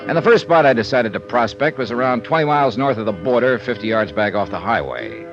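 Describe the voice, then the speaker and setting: An actor playing a shrewd, easygoing American insurance investigator in a 1950s radio detective drama, wry and probing. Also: male voice, medium pitch, lively intonation, fast, soft background music, lightly acted. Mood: wry narration. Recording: dull vintage radio recording.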